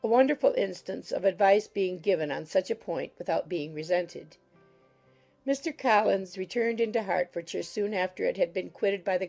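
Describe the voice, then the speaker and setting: Mellow, creaky woman narrating a story. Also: on the low side. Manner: measured, formal storytelling. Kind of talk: scripted audiobook narration.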